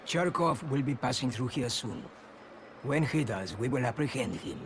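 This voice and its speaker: An actor playing a cold, commanding Soviet colonel in a video game. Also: male voice, mid-range voice, expressive, normal speed, background noise, natural voice. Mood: suspicious, controlled. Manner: commanding, sardonic. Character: cold menacing Soviet colonel interrogating a suspected traitor. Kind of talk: cinematic video game voice acting.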